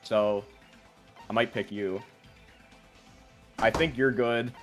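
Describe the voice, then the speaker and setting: A man's voice: mid-range voice, steady tone, slow pace. A man chatting casually while playing a game, hesitant, thinking aloud and trailing off. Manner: hesitant, trailing off mid-sentence. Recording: game music behind the voice.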